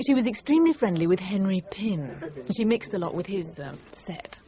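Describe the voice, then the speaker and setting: Woman playing a mellow, guarded office manager. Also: medium pitch, very animated, moderate pace, dull audio, close to an everyday voice. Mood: guarded, polite. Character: articulate, guarded woman personnel manager being questioned. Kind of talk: radio crime drama acting.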